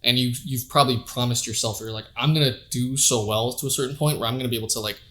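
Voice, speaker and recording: A man's voice: mid-range voice, fairly even intonation, fast, fairly bright tone. A man hosting a casual podcast interview, talking in a laid-back, rambling way with many fillers. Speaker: podcast host.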